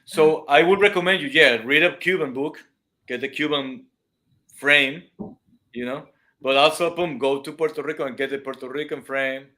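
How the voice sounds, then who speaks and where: A man's voice: on the high side, moderate pace, bright, forceful tone. A man with a non-native accent on a casual video call, explaining music and dance to a host with many restarts and comparisons. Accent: non-native English speaker. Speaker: guest. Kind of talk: casual live-stream chat. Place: room at home with cameras.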